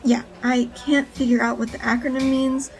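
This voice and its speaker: A woman's voice: sits high, level tone, moderate pace, vocal fry. An actress playing a wary, resolute female informant who speaks in an understated, matter-of-fact way in an audio drama.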